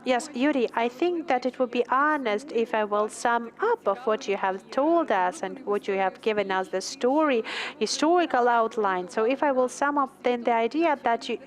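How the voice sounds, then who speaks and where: Woman hosting a conference panel, a bit high voiced, formal and halting with little pauses. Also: lively intonation. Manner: formal, measured, with pauses and hesitations. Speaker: panel moderator. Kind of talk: formal panel discussion wrap-up.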